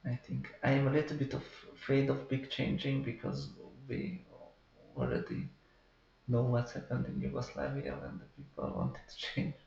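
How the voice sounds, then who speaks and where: A man, hesitantly telling his own story as an artist in an interview, pausing and restarting often. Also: medium pitch, creaky, darkish tone. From Hungary. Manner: hesitant, many pauses and restarts. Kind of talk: halting personal account. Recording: roomy, dull-sounding recording.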